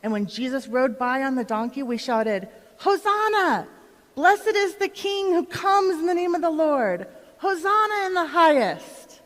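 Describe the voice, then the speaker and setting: Woman playing an excited Biblical witness, echoing hall. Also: high voice, a little slow. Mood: jubilant. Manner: storytelling, addressing listeners directly. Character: excited woman of Bethany, Lazarus's sister, witnessing Jesus. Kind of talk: dramatic monologue in a church performance. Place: church, live performance. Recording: reverberant hall.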